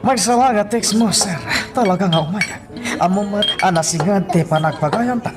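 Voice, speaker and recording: A man's voice: sits high, moderate pace, lightly acted. An actor with a Filipino accent playing a polite, deferential male guest in a radio drama. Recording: loud music, clinking glassware behind.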